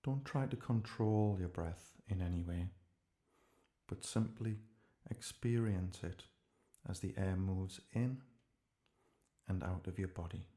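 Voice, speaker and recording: A man gently leading a guided relaxation meditation in a calm, measured way, leaving pauses between short phrases. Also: sits low.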